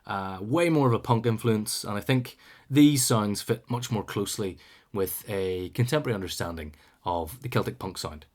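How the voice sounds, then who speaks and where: A man hosting a music show, talking casually between songs in a relaxed, musing way, with frequent ums and dry asides. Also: on the low side, moderate pace.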